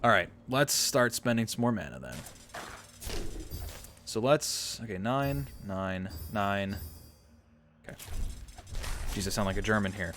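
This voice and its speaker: Low-voiced man narrating his gameplay. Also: lively intonation, unhurried, long pauses, fairly bright tone, slight background noise. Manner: thinks aloud, answers chat, self-mocking asides. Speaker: streamer. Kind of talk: casual gameplay livestream commentary.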